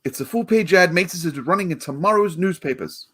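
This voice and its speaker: A man's voice: high-pitched, expressive, a little fast, fairly bright tone. A man acting a fussy, placating department store manager in a 1940s-style radio play, chatty and fretful. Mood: brisk, disapproving.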